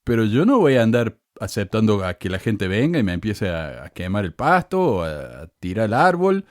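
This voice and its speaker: Man with cordoba argentine accent, sarcastic and indignant, lively swooping pitch, dry close sound. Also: normal speed, no echo. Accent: Cordoba Argentine Spanish. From Córdoba, Argentina. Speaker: podcast host. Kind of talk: casual commentary reading comments.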